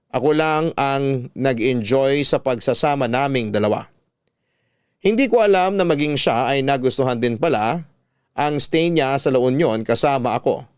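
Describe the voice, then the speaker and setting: A man's voice: mid-range voice, clear, resonant tone, no echo. A man with a Filipino accent narrating a scripted personal story as a storyteller on a close studio mic. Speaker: narrator reading a listener's letter.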